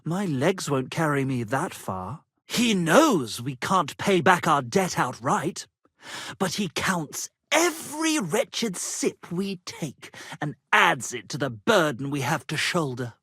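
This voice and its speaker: An actor voicing a vain, florid wandering poet-musician, theatrical and grandiloquent, in a medieval video game. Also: male voice, fairly high pitch, big pitch swings, slow, sharp tone, breathy edge, no room sound, clean studio sound, exaggerated voice. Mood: plaintive, worried. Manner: flowery, grandiloquent, melodramatic.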